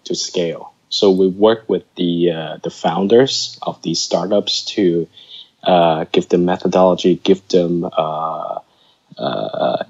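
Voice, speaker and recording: A man's voice: deep voice, a little mellow, highs cut off. A man from Hong Kong with a slightly American accent, talking as an interview guest with lots of fillers and restarts. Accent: American-tinged English. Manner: hesitant, many fillers and restarts. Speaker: guest, startup co-founder. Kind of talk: casual interview chat.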